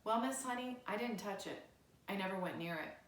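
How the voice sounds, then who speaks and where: A woman's voice: mid-range voice, animated, a little fast, a little hiss or hum, lightly acted. A woman voicing an earnest, anxious young schoolgirl in a children's storybook, hesitant and pleading in manner. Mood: insistent. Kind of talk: children's storybook read aloud. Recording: roomy, echoey indoor sound.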